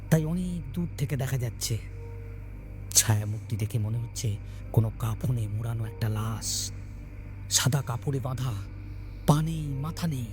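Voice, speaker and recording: Man narrating horror, music underneath. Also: mid-range voice. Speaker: storyteller, channel host. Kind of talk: scripted horror story narration.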